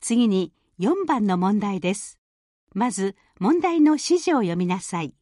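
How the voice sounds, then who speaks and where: A female exam announcer delivers scripted instructions formally and with careful enunciation. Her pitch is mid-range with lively, widely varied intonation. The sound is dry, with no room echo. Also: brisk.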